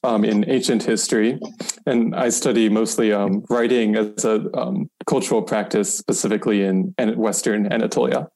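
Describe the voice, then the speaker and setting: A man's voice: on the low side, fairly even intonation, moderate pace, creaky, a little mellow, poor audio. A young man introducing himself casually as a podcast guest. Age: young adult. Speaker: podcast guest, PhD student.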